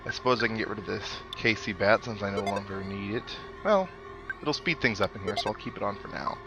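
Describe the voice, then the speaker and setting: A man's voice: on the low side, a little fast, low-quality recording. A man giving casual, rambling let's play commentary, thinking aloud as he plays. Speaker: gaming host. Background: video game music.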